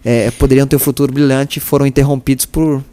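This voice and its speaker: A man in his thirties from São Paulo with a São Paulo accent, telling his story as a podcast guest in a relaxed way. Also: mid-range voice, fast, no echo. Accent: São Paulo Brazilian Portuguese. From East Zone of São Paulo, Brazil. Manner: relaxed storytelling with fillers and laughs. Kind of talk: casual interview chat.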